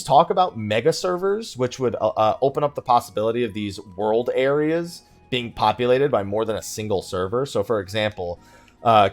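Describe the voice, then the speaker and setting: A man giving casual let's play commentary as a video creator, rambling and thinking aloud, often sidetracking himself. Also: mid-range voice. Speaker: gaming video creator and live streamer.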